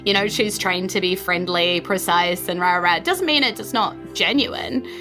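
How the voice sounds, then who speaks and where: A woman's voice: lowish voice, moderate pace, over music. A woman with a New Zealand accent narrating a tabletop fantasy game as game master, descriptive and conversational.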